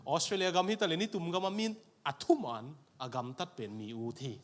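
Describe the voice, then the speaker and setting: A man's voice: a little high, fairly quick. A man interpreting a sermon phrase by phrase in a church, repeating each line right after the preacher. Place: church sanctuary.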